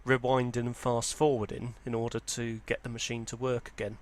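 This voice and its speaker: A man with a medium-pitched voice presents a hobby video in an informal, unscripted style. He explains details step by step, with a hesitant delivery that often hedges as it goes. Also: little pitch movement, a little fast. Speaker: presenter of a vintage audio hobby channel. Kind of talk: informal product walkthrough, unscripted.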